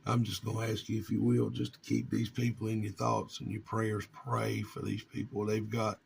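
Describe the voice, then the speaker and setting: A man from North Carolina, talking informally to his viewers in a halting, earnest way with long pauses. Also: on the low side, flat delivery, creaky, slight background noise. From North Carolina, United States. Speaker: vlogger and amateur radio hobbyist.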